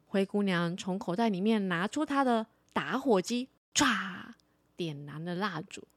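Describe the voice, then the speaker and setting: A woman telling a story for children as a podcast host, in an animated storytelling style. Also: medium pitch, a little slow, dry recording, clean studio sound.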